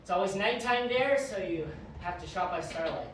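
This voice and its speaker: A woman playing a teasing otherworldly former adjudicator in an audio drama, with a playful, informal, slightly halting delivery. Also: mid-range voice, recorded from a distance, lightly acted. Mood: easygoing. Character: Quench, teasing otherworldly former adjudicator who lives outside time. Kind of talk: audio drama acting, conversational. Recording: very echoey, off-mic, faint noise.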